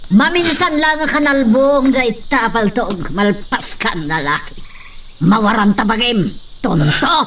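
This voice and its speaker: A woman's voice: on the high side, measured pace, a bit bright, a little hiss or hum. A woman playing a haughty, vengeful wealthy matriarch who scolds imperiously in an Ilocano radio drama, with an Ilocano accent. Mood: menacing.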